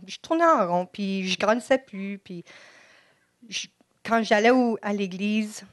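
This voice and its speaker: A woman's voice: medium pitch, dry recording, clean studio sound. Shy Quebec French woman giving testimony. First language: English. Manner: halting, shy, many restarts. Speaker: church member giving testimony. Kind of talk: personal faith testimony. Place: church service. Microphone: microphone before an audience.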